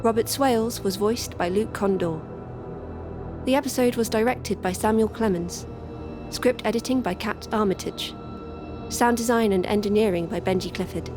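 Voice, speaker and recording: A woman reads scripted end credits for an audio drama. Her voice is medium in pitch, with music clearly audible behind it. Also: normal speed. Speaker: credits announcer.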